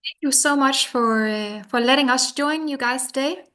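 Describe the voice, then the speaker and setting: A woman with a Danish accent giving a careful, measured webinar presentation in English, her second language, over a video call. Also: high-pitched, sharp tone. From Denmark. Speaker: webinar presenter, product manager.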